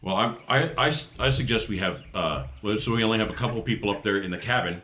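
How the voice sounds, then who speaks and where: A man with a rather low voice is playing a tabletop role-playing game. His talk is casual and conversational, that of a player at the table. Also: fast, sharp tone.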